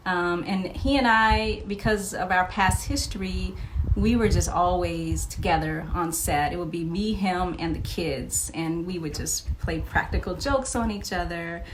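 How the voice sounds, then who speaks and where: A woman's voice: lowish voice, measured pace, a little hiss or hum, low-quality recording. A middle-aged woman chatting casually as an interview guest, telling anecdotes and quoting remembered dialogue.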